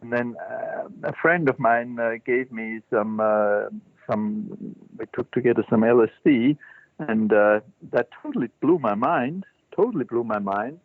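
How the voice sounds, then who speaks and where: An older man, a Zen teacher telling his life story as an interview guest, halting and thoughtful with many restarts. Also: medium pitch, no room sound. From Switzerland. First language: German. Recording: dry, tinny phone-line sound.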